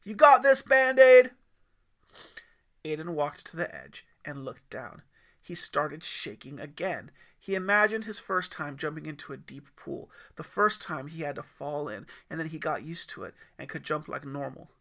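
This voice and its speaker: Male audiobook narrator, expressive storytelling, creaky bright voice. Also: a little high, moderate pace.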